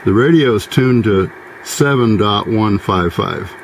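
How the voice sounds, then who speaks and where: A man giving a casual hands-on demonstration of homemade gear in an unhurried, halting, explanatory way. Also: lowish voice, creaky, poor audio. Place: small indoor workbench by a window. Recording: faint background noise.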